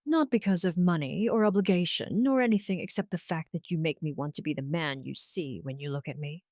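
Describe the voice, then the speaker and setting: A woman voicing a gentle, devoted man in love in an audio romance story, tender and sincere. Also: sits low, a little fast, no echo, studio quality. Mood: earnest proposing.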